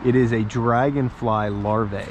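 A man's voice: medium pitch, steady tone, a little slow, noisy background. A young man fishing outdoors, chatting playfully and teasing his friend with questions. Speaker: fishing vlogger. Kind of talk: casual outdoor chat. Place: outdoors by a creek.

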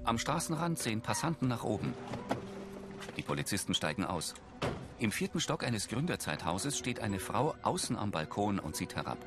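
Male audio-description narrator, low voice with lively intonation, scripted, loud music underneath. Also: normal speed. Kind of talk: scripted present-tense scene narration.

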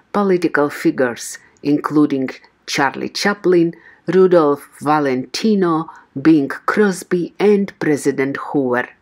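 A woman reading a scripted tour narration in a smooth, even voice-over, with loud music behind her. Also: deep voice.

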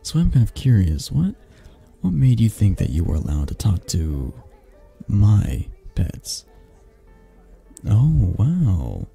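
A man playing a possessive mafia boss in a romantic ASMR audio roleplay, speaking haltingly with sighs and pointed pauses in a low, breathy, husky voice. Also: huge pitch swings, dark tone, faint music behind, heavily acted. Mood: cold menace. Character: possessive, menacing young mafia boss, softening into awkward tenderness.

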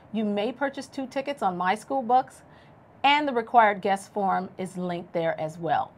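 A middle-aged woman, a teacher co-hosting a school news show, reading announcements in a measured, careful way from a studio desk. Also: fairly high pitch.

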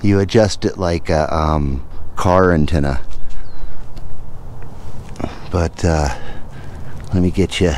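American man, middle-aged, low voice, unhurried pace, laid-back vlog chat, faint outdoor noise. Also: darkish tone. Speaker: hobbyist video host. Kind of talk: casual vlog walkthrough. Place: outdoors in a residential yard and on a roof. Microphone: camera-mounted microphone with furry windscreen.

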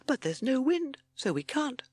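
A timid storybook toad, played by a woman, with a high little voice. He is anxious and worried, always fretting. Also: sing-song, unhurried, darkish tone, husky, dry recording, full character voice.

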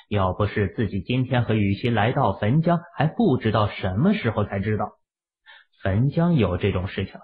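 A man narrating a novel as a scripted, dramatic audiobook reading. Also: fairly low pitch. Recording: tinny, narrow-band phone-like audio.

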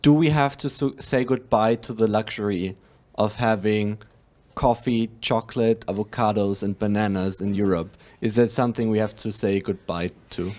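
A man from Germany asks a speaker a question from the audience. His voice is slightly low, and he hesitates, sounding a little self-conscious about asking. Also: steady tone, normal speed, a little mellow.

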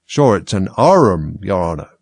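A man's voice: on the low side, very animated, brisk, gravelly, dry recording, heavily acted. Man acting a storybook servant creature, male, meek, dim, plodding, deferential. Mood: servile, reluctant. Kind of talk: storybook character voice.

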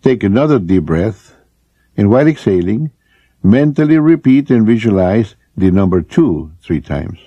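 A man leading a scripted guided relaxation as an instructor, speaking in measured, deliberate short phrases with pauses. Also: lowish voice, sing-song, warm tone, husky, no room sound. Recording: muffled, noisy lo-fi recording with clicks.

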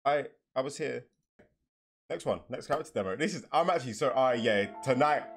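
A young man giving casual, slangy, excitable reaction commentary with some swearing, as a streamer at home. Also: mid-range voice, fairly quick. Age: young adult. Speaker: reaction video host and streamer. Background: game trailer music and sound.

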